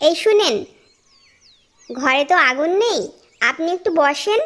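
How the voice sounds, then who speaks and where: A woman voicing a devout, caring and easily flustered young wife in a moral-tale cartoon, polite and expressive. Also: high-pitched, measured pace. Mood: caring, concerned.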